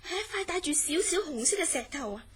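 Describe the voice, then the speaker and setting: An actress playing a curious, easily astonished woman in a horror radio drama, speaking in an expressive, questioning way. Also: sits high, quick pace. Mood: curious, puzzled. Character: curious, astonished woman recounting a friend's strange dreams. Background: background music.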